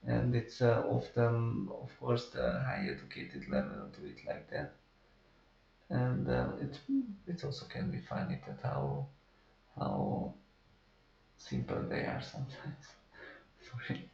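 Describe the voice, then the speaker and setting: Man, hesitant personal account, lively pitch, slow delivery. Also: creaky. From Hungary. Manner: hesitant, many pauses and restarts. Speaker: artist interviewee. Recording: roomy, dull-sounding recording.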